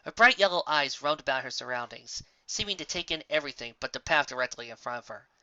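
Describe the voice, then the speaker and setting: Fan fiction narrator: dramatic scripted read, lively swings in pitch, slightly dull dry recording. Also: quick pace, no echo. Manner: expressive, dramatic reading.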